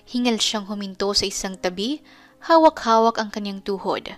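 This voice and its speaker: A woman's voice: mid-range voice, lively intonation, moderate pace, faint music behind. A woman giving a dramatic scripted narration of a romance story.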